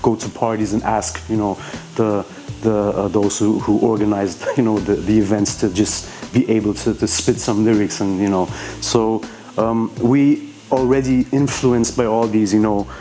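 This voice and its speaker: A man's voice: on the low side, steady tone, moderate pace, warm tone, faint music behind. A man from Iran whose first language is Persian, a rapper answering interview questions in a hesitant way, with many fillers and restarts.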